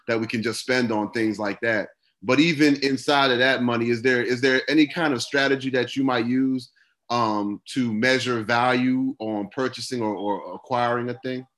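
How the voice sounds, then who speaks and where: This is a man from Maryland calling in to a talk show. His voice sits in a middle pitch. He circles around his question with plenty of hesitations and fillers. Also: fairly bright tone. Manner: rambling, hesitant, full of "like" and "um". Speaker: caller. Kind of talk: call-in question.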